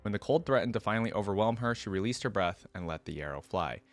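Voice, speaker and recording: Low-voiced man reading lore aloud. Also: animated, quick pace, dry recording. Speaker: reaction video host.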